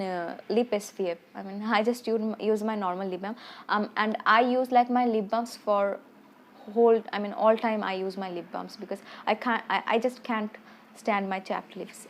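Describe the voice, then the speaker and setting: A woman's voice: medium pitch, steady tone, moderate pace, slight background noise. A woman who rambles through a casual product review, full of restarts and fillers, a little self-conscious. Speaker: beauty vlogger.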